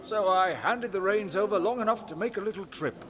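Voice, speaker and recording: A man's voice: high voice, a little fast, noisy background, natural voice. An actor playing a humble, kindly older king and father in an audio drama, self-effacing, folksy and eager to please. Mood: warm, delighted.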